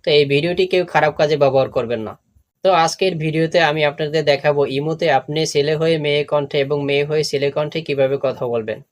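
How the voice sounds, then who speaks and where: A man's voice: a little high, quick pace, no echo. A man hosting a step-by-step phone app tutorial, talking directly to viewers and often repeating his phrases. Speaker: tech tutorial host.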